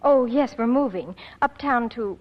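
An actress playing a gentle older sister who apologizes softly in a 1940s film. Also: female voice, a little high, measured pace. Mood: apologetic. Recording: dull old soundtrack with hall reverb.

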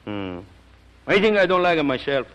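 An actor playing an elderly Italian immigrant singing teacher who speaks broken English with an Italian accent, tender and proud. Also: male voice, a little high, very animated, moderate pace, fairly bright tone, clean tone. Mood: wistful. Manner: broken English, emotional, sighing. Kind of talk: 1940s film acting. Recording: dull old film soundtrack.

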